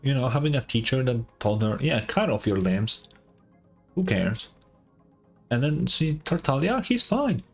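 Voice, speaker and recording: A man's voice: mid-range voice, sing-song, a little slow, slightly rough. A man streaming a game, chatting informally and reacting with dramatic, joking commentary. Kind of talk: casual gameplay commentary, reading and reacting. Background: game music.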